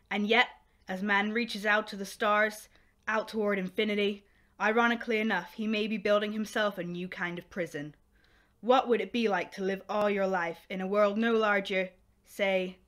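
A woman's voice: medium pitch, fairly bright tone. A woman reading a dramatic scripted radio introduction as announcer. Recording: music under the voice.

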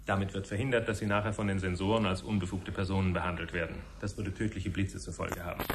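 An actor playing a courteous, formal official who explains things to visitors in a 1970s radio play. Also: male voice, low voice, level tone, fast talker. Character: courteous, formal male official guiding visitors through a command centre. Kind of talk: 1970s German radio drama acting. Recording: noisy 1970s radio broadcast recording.

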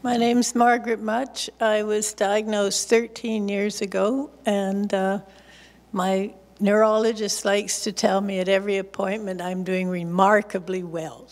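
A woman's voice: mid-range voice, level tone, a little slow. A woman on a panel introducing herself with wry understatement, in a meeting hall. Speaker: panelist with Parkinson's. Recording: hall reverb.

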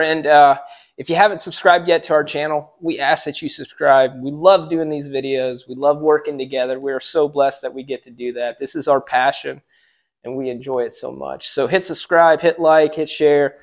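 A man's voice: fairly high pitch, steady tone, moderate pace. A man in his thirties hosting a casual workshop vlog, upbeat and plain-spoken, recorded in a large indoor sawmill shop on a camera mic a few meters off. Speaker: sawmill channel host.

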